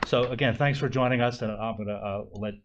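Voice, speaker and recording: A soft-spoken man introducing speakers as moderator of a conference session, halting often with fillers. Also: lowish voice, lo-fi sound, heavy background noise. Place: conference room.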